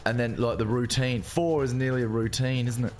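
An Irish man co-hosting a comedy podcast, playful and quick to laugh in casual chat. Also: medium pitch, fairly even intonation, faint music behind. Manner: playful, giggly, swoops into silly voices. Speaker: podcast co-host.

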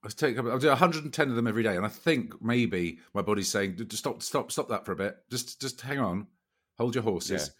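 A man co-hosting a podcast in rambling, jokey chat, his voice a little croaky. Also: on the low side, lively intonation, quick pace, a bit bright. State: slightly croaky voice. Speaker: podcast co-host. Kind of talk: casual comic chat.

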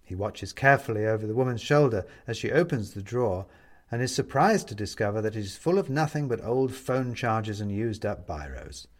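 A man narrating a scripted story as an audiobook reader, in a wry, measured manner. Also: fairly low pitch, expressive.

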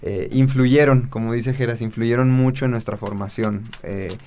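A man's voice: medium pitch, fairly even intonation, moderate pace, mellow, smooth. A man in his twenties hosting a podcast, introducing guests with enthusiasm. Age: 20s. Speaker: podcast host. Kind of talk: casual podcast chat.

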